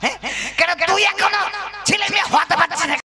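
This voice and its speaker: A very high-pitched, gravelly village husband puppet in a folk comedy show. He is excitable and scolding, repeating himself and calling out to the audience, with music clearly behind him. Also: quick pace. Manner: folksy, exclamatory, repetitive, addresses the audience.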